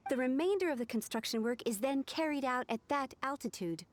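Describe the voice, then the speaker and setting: A woman's voice: high voice, lively intonation, a little fast, slight background noise. A woman playing a diligent, courteous young female official in a video game, explaining things formally.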